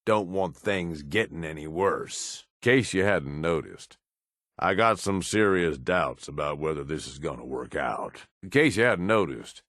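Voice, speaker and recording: Casting: cynical game raider sidekick, deep gruff drawl, blunt and loyal, close dry sound. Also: male voice, lively intonation, unhurried, a bit bright, no echo. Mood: dry, resigned. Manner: rough colloquial drawl, blunt, swearing. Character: gruff cynical raider henchman, grudgingly loyal, foul-mouthed. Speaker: video game companion character. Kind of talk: video game dialogue lines.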